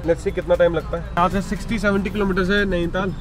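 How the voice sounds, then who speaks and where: A young man talks quickly and excitedly from the driver's seat of a car, narrating the road as he goes. His voice sits high for a man, and music plays in the background. He slips English phrases into casual chat. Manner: chatty and excited, mixing Hindi with English phrases. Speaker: travel vlogger driving his family. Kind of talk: casual running commentary while driving. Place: inside a car on a hill road.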